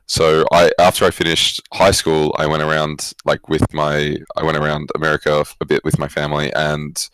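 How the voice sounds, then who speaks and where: A man's voice: very deep voice, monotone, moderate pace, a bit bright, clean tone, no echo, low-quality recording. A young man with an Australian accent, answering interview questions casually and reflectively, rephrasing as he goes. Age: young adult. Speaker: esports coach, former pro player, guest.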